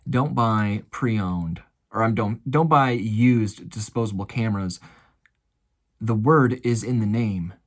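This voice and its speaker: A man's voice: fairly low pitch, slow. Chatty American man recapping online sales. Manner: folksy, chatty showman addressing viewers as folks. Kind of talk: casual vlog recap of items sold.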